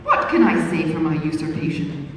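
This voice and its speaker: A woman reading aloud, voicing a pompous, mischievous gentleman who speaks with affected solemnity, in an echoey hall. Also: low-pitched, wildly swooping pitch, far from the mic, a little hiss or hum, exaggerated voice. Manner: slow, grave, affected solemnity.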